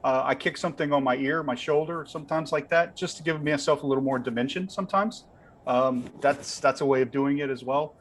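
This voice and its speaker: A man giving an informal, conversational explanation as a webinar panelist, softening his points as he goes, speaking from a room at home. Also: mid-range voice, brisk, slight background noise. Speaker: panelist on lighting gear.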